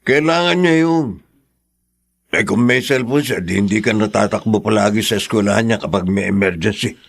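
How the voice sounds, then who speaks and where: A man's voice: lowish voice, normal speed, gravelly, slightly rough, dry recording. Hot-headed, doting father, dry close sound. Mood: pleading. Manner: pleading, blustering. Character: hot-headed, doting, remorseful working-class father of a teenager. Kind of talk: radio drama acting.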